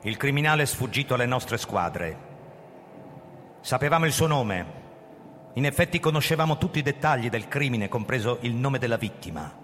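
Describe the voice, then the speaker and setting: An actor playing a proud, guarded older police commissioner who narrates his own story in a theatrical, measured radio drama style. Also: mid-range voice, animated, a bit bright, a little hiss or hum, natural voice. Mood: proud, emphatic. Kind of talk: live radio drama reading with music. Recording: reverberant hall with music behind. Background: music.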